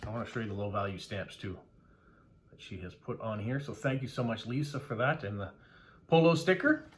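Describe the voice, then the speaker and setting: A man's voice: on the low side, brisk. A middle-aged Canadian man, a mail carrier, chatting casually as he unboxes postcards, thanking each sender with many "uh" fillers.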